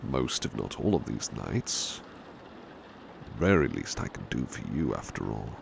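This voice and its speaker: A very deep, heavily creaky British gentleman butler acted by a man murmurs in a slow, soft ASMR style. He is courteous and protective, with old-fashioned formal phrasing that drifts into whispers. Steady rain noise sits behind the voice. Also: exaggerated voice. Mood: kindly, reassuring. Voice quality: very deep, gravelly, breathy whispery edge. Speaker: ASMR roleplay performer. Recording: clear background noise, ambient rain sound. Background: rain ambience.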